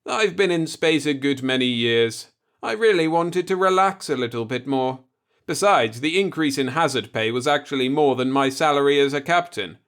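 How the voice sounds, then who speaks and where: A man's voice: medium pitch, animated, moderate pace, fairly bright tone, pitched above the performer's own voice. A man voicing an easygoing, self-assured veteran first officer of a spaceship in a sci-fi audiobook, speaking casually and expansively. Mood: easygoing, advising.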